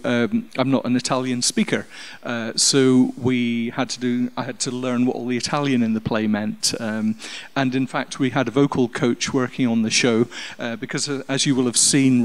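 A man on a theatre panel, explaining his directing work in a measured way. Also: mid-range voice. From Scotland. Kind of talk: live panel talk. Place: theatre stage.

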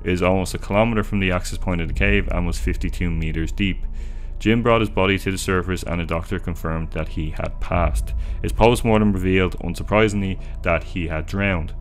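A man's voice: deep voice, moderate pace. A young man from Dublin with a Dublin Irish accent, narrating a true story in an earnest, chatty way from a small room at home. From Dublin, Ireland. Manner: earnest, chatty commentary with restarts. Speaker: YouTube storyteller. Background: faint music.

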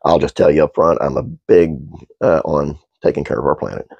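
A middle-aged man hosting a casual trivia video at home, stuffed-up and congested, talking in a relaxed, halting way with many uhs. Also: extremely low pitch, gravelly, no room sound, muffled recording. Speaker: host.

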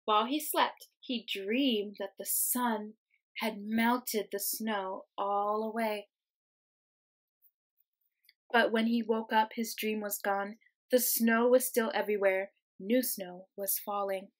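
A young woman, a teacher reading a children's storybook aloud, expressive and explaining things simply for young listeners. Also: mid-range voice, takes it slowly. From United States. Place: small room at home, indoors.

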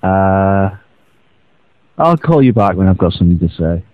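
A man's voice: low voice, very animated, moderate pace, dry recording. A man leaving a casual, rambling voicemail in a hesitant, mock-earnest way. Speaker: voicemail caller. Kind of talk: casual rambling phone message. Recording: muffled internet voicemail, very dry.

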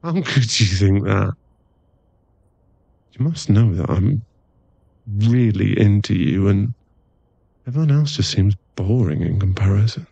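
A man playing an affectionate, teasing werewolf boyfriend in an intimate ASMR roleplay, speaking in a soft, coaxing, halting way with a husky, gravelly voice. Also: lowish voice, expressive, no room sound, muffled recording, full character voice. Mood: pleading. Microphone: close microphone.

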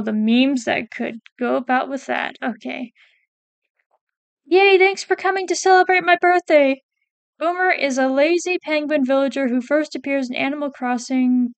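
A woman's voice: high voice, vocal fry, dry recording. A woman casually talking through a ranking of game characters, hesitant and self-correcting as she reads out wiki quotes. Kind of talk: casual commentary while reading a wiki.

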